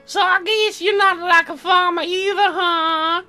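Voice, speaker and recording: A man puts on a bubbly airheaded young woman from a game, pitched very high. The voice is bright and forceful, swooping around as she drags out her words and leans on "like". Light music plays behind. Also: steady tone, full character voice. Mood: ditzy, eager. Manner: airheaded, drawn-out words with many likes.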